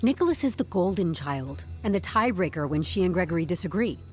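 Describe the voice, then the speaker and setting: An actress playing a composed, stubborn HR newcomer in an audio drama, speaking with deadpan corporate politeness and wry candour. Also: female voice, lowish voice, brisk, faint music behind, close to an everyday voice. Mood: insistent. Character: composed, stubborn young HR newcomer turning interrogation into interview.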